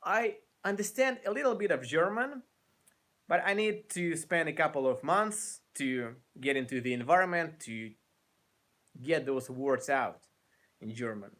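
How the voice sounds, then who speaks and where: Lively young man with a Ukrainian accent. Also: a little high, measured pace. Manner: animated, gestures a lot, restarts often. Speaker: guitar YouTuber. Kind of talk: casual live stream chat. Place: small room by a brick wall, indoors.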